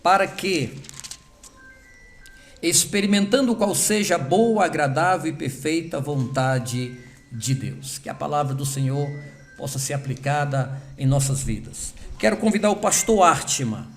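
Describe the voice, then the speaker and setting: A man's voice: on the high side, expressive, faint music behind. A man serving as host pastor, reading scripture and praying in church with an earnest, emphatic delivery. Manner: earnest, emphatic, slowing on key words.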